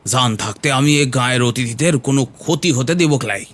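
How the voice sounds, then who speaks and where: A man's voice: mid-range voice, a little fast, a bit bright, lightly acted. Anxious rustic village man, acted by a man. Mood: anxious warning. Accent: rural Bengali. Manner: earnest, rustic, pleading. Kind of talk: audio horror story acting.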